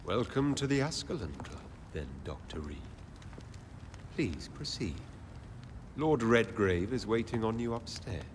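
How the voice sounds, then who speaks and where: A stern club doorman in a video game. He is formal and officious, with a lowish voice that rises and falls a lot. Faint ambient noise sits behind. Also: animated, unhurried. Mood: formal welcome.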